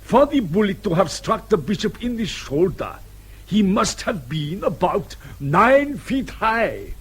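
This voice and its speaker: An actor playing a courteous, deliberate police colonel in a radio drama, gently sardonic and measured with hesitations. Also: male voice, sits high, sing-song, breathy edge, exaggerated voice. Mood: reasoning, insistent.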